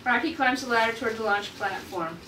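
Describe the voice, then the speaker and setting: A woman's voice: a little high, a little fast. A woman reading out screenplay stage directions as narrator at a table read. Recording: echoey room, distant mic, clear noise.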